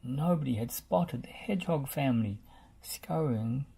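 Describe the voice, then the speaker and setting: A man reading a children's storybook aloud in an expressive narrating style. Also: mid-range voice, unhurried, vocal fry, slightly rough. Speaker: storybook reader.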